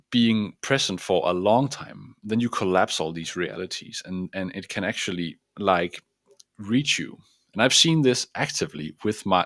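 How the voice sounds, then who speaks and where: A man with a Danish accent talks through a self-help lesson in a low voice, restarting his sentences as he hunts for the right words. The sound is close and dry. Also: dry recording. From Denmark. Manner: earnest, searching for words with restarts; explains while drawing a diagram. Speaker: transformative coach. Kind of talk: self-help video talk.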